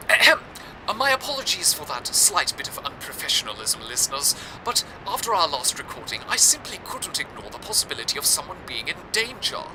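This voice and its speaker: A man's voice: on the high side, background noise. A man playing an earnest, deferential radio presenter in an audio drama, speaking in a formal, theatrical scripted style. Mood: apologetic. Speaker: radio broadcast host.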